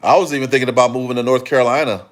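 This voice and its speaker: A man from Chicago chats casually on his livestream, answering viewers' comments one by one. He talks in a loose, rambling way, stopping and restarting sentences and leaning on fillers. His voice sits at an ordinary middle pitch for a man. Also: fairly even intonation, racing. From Chicago, Illinois. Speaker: livestream host.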